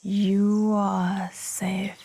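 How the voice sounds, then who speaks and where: Very slow, soft-voiced woman guiding meditation. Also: mid-range voice, little pitch movement. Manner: soft, soothing, breathy with long pauses. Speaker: meditation guide. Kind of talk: guided meditation narration. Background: birdsong.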